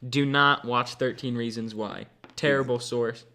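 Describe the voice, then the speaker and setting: A man's voice: medium pitch, steady tone, measured pace, pushed and bright. A young man in his late teens co-hosting a podcast among friends, chatty and eager to tell stories. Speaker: podcast co-host. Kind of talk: casual chat among friends.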